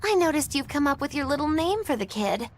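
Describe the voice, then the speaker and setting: A woman's voice: sits high, big pitch swings, fairly quick, background noise. A woman playing a sly, theatrical young witch in an anime game dub, speaking in an arch, wordy, teasing way. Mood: knowing, teasing.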